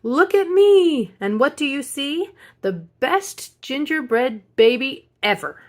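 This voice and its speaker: A woman's voice: high-pitched, sing-song, slow pace, pitched above the performer's own voice, exaggerated voice. A woman voicing a cocky, boastful little gingerbread baby boy from a children's storybook, in a taunting sing-song. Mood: gleeful, loud.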